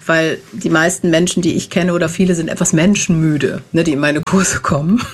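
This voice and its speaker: A woman's voice: sits low, fairly even intonation, brisk, slight background noise. A woman in her fifties, a guest on an interview podcast, talking in a chatty, easygoing way and often tagging her sentences with "ne?". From Germany, grew up in the Netherlands. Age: 50s. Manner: chatty, warm, frequent "ne?" tag questions, laughs easily. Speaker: guest, animal communicator. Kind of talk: casual interview chat.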